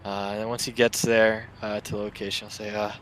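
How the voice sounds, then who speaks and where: A man's voice: on the low side, level tone, fairly quick, fairly bright tone, slight background noise. A man playing a tabletop roleplaying game, casually describing his character's actions and hesitantly searching for words.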